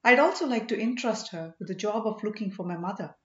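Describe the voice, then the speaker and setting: A woman's voice: medium pitch, lively intonation, a little fast, a bit bright, close to an everyday voice. A woman voicing an ardent, grateful young king in exile with earnest, formal speech, as in a storybook. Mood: eager, insistent. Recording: roomy, slightly dull home recording.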